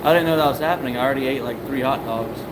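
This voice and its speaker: A man hosting a casual live stream, reading viewer comments aloud in a relaxed, offhand way. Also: mid-range voice, racing. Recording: echoey workshop with loud background noise.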